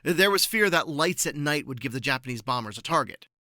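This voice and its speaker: A man giving prepared, articulate answers as an interview guest, informative and measured in his phrasing. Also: a little high, lively intonation, pushed and bright, no echo, studio quality.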